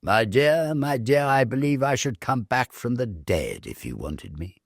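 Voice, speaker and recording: A confident young Victorian suitor played by a male narrator, earnest and sure of himself. The delivery is expressive, in a dry storybook recording. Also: mid-range voice, measured pace, no echo, pitched above the performer's own voice. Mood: tender, ardent.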